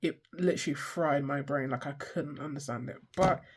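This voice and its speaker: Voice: medium pitch, moderate pace, breathy edge. A person in their twenties with a stuffy, congested voice, chatting casually and rambling through a sketchbook tour, swearing freely and poking fun at themselves. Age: 20s. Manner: swears freely, self-deprecating, loses track mid-sentence. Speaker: art vlogger.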